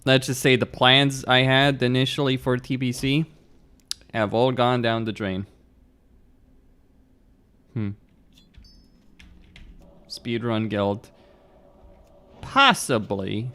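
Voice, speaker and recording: A man's voice: mid-range voice, little pitch movement, slow, long pauses, bright, forceful tone, clean tone. A young man from Romania, streaming a game and chatting bluntly with viewers, laughing often and swearing freely. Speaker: gaming streamer. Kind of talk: casual live-stream chat, answering viewers.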